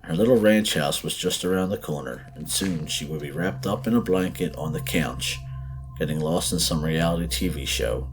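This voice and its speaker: A man narrating a scripted horror story in a measured, theatrical, suspenseful way. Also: extremely low pitch, background noise. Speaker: podcast host and story narrator.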